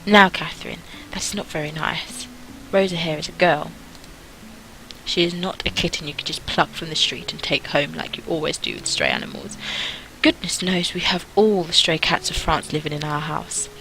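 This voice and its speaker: A woman voicing a mild, patient father who reasons gently in a storybook reading. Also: sits low, big pitch swings, moderate pace, gravelly, a little hiss or hum, full character voice, poor audio. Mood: gently admonishing. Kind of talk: amateur audiobook character reading.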